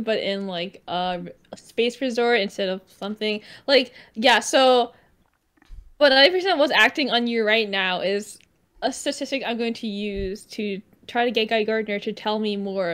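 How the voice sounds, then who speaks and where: A woman's voice: on the high side, fairly bright tone, no room sound, close to an everyday voice. A woman playing a giddy, lovesick, slightly unhinged male vlogger in an improvised comedy dub, gushing and rambling. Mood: dreamy. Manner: gushing, rambling, breaking into laughter. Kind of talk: improvised parody fandub of a vlog.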